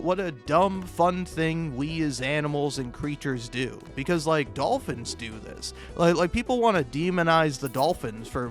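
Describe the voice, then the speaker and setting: Higher-voiced man rambling haltingly over music. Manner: profane, halting, self-interrupting with stammered repeats; chuckles often while talking. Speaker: host of a comedic video. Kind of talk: rambling casual comedic monologue.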